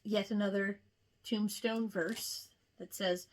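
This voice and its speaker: A woman hosting a casual craft show-and-tell, chatting in an unhurried way with rambling asides. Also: medium pitch, steady tone. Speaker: cross-stitch video host.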